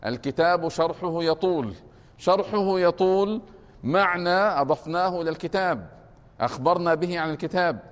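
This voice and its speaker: A man teaching a grammar class, explaining slowly and repeating points, often stopping to ask whether it is clear. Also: high-pitched. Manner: deliberate, repeats points and keeps asking if it is clear. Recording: long reverb of a hall.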